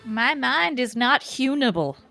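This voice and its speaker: A woman's voice: high voice, very animated, measured pace, creaky, sharp tone, dry recording, soft background music. Woman cohosting a game stream, jokey and giggly, dry close sound. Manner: playful, giggly, wry quips. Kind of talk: casual gameplay chat.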